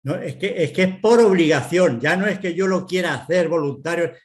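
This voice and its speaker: Higher-voiced Spanish man, halting and insistent. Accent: Castilian Spanish. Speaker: dependent person and carer. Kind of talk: spontaneous audience testimony.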